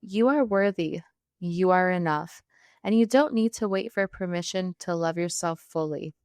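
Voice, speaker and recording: A woman's voice: on the low side, moderate pace, darkish tone, dry recording, studio quality. Upbeat woman podcast host. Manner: upbeat, affirming delivery. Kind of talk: podcast hosting and scripted promo.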